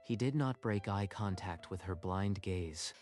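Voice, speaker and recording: A man's voice: deep voice, a little slow, darkish tone. A man reading scripted, dramatic story narration for a manga dub. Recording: music behind the voice.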